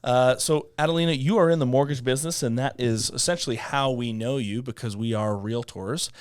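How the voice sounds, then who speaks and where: A man's voice: mid-range voice, brisk, clear, resonant tone, no echo. Encouraging male podcast host, dry sound. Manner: encouraging, repeats himself in false starts. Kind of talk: casual podcast interview. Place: podcast studio in an office.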